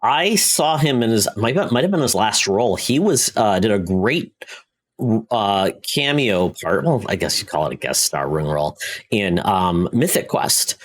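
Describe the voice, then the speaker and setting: A man's voice: lowish voice, lively intonation, unhurried. A man co-hosting a podcast, chatting casually about TV shows in an easygoing, conversational way. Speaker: podcast co-host.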